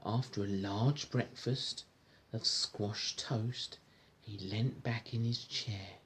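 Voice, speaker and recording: A man reading a storybook aloud to a child in an informal, encouraging, gentle way, with chatty asides. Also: fairly low pitch, steady tone, slow. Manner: encouraging, gentle, echoes the child's words back. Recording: home recording with faint background noise.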